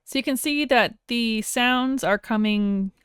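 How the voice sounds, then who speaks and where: A woman narrating an informal software tutorial in a plain-spoken, explanatory way. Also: on the high side, unhurried, clean tone, no echo, clean studio sound. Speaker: audio software instructor.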